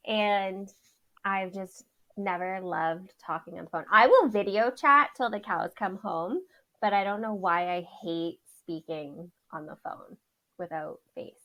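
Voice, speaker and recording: A woman's voice: a little slow, pushed and bright, poor audio. A woman, a chatty podcast guest who laughs often. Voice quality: says her voice is high. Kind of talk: casual interview chat.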